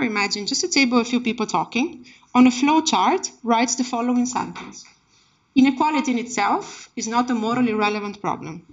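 High-pitched woman lecturing emphatically. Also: husky. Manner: emphatic, dramatic, impassioned delivery. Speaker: keynote speaker, academic researcher. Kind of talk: academic lecture, personal storytelling. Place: indoor seminar room, speaking at a lectern. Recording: dull, muffled lecture-room recording.

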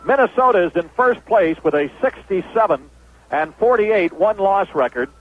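High voiced guy doing 70s baseball radio recap, muffled lofi broadcast sound. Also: lively intonation, normal speed, slight background noise. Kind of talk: 1970s radio sports wrap-up, reading scores and sponsors. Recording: dull lo-fi 1970s radio broadcast.

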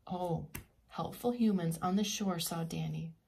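A woman preschool teacher reading a children's picture book aloud in an expressive, teacherly way with simple asides. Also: on the low side, a little slow, gravelly.

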